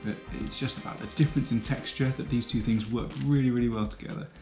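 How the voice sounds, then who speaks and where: A young man explaining biology in a patient, clear teaching manner, recorded in a home kitchen on a camera across the table. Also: medium pitch, fairly even intonation, quick pace, echoey room, music in the background. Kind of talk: educational explainer.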